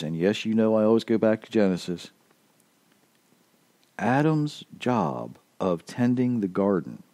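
Earnest preacher, deep dry voice. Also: male voice, warm tone, no echo. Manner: earnest, emphatic, repeats key points. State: slightly losing his voice. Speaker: podcast preacher. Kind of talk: informal sermon podcast.

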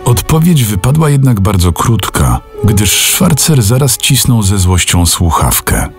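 Male narrator for a classic novel: lowish voice, highly varied intonation, careful diction, faint music underneath. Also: big pitch swings. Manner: measured, deliberate diction. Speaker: audiobook narrator, voice actor. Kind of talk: dramatic scripted literary narration.

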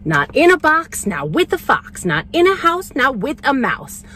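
A grumpy, stubborn storybook fellow who refuses his food, acted out by a woman. The lines come in emphatic rhymed refusals with a very lively, swinging pitch. There is some noise from inside a vehicle. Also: unhurried, heavily acted.

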